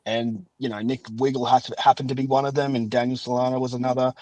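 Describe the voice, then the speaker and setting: A young man hosts a casual podcast conversation. He rambles and reminisces with enthusiasm, starting and restarting thoughts as he goes. His voice is mid-pitched and recorded very dry. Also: little pitch movement, fast, a little mellow, no room sound, rough recording. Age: young adult. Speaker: podcast host. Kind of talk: casual chat interview.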